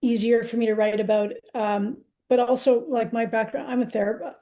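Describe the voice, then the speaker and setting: A woman's voice: a little high, level tone, moderate pace, mellow. A woman in her sixties, a poet answering interview questions in a candid, reflective, conversational way. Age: 60s.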